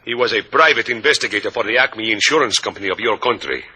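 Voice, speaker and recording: A man playing a courteous, wry Havana police official with a Spanish accent and husky voice, formal and droll, in an old radio drama. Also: lowish voice, bright, forceful tone, full character voice. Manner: formal, droll, deliberate wording. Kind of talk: 1940s-50s radio drama acting.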